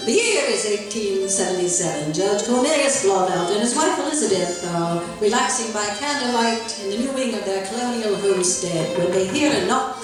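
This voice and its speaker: A woman's voice: mid-range voice, lively intonation, moderate pace. A woman reading scripted narration and mock advertising in old-time radio style. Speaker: narrator and sponsor announcer. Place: library meeting room, live performance. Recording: roomy echo, distant mic, music.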